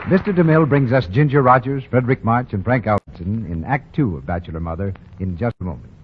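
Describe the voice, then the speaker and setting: A dull, noisy 1940 radio recording of a male announcer. His low voice swoops with lively intonation as he makes a polished, emphatic sales pitch. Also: fast.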